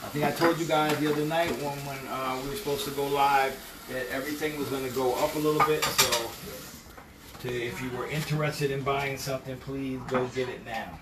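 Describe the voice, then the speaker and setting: A man's voice: medium pitch, a little fast. Middle-aged man cooking in a noisy home kitchen. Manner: relaxed, jokey, playful slang. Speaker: home cooking show host. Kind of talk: casual cooking livestream demo. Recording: sizzling pan and kitchen clatter.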